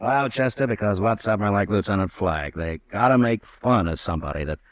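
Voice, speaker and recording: An actor playing a calm, steady frontier marshal who speaks in an even, measured, dry way, in 1950s radio drama style. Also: male voice, fairly low pitch, no echo, close to an everyday voice.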